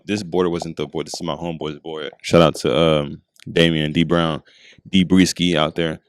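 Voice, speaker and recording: A young man in his twenties with a very low, deep voice talks in a relaxed, rambling way, full of "you know" and "um". He speaks into a handheld mic outdoors at a skate park. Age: 20s. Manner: laid-back, rambling with many fillers. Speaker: podcast guest. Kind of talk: casual chat.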